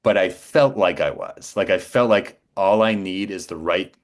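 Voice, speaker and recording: A man's voice: sits low, moderate pace. A man in his forties speaking as an interview guest, telling anecdotes casually and with self-assurance. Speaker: podcast guest, voice actor.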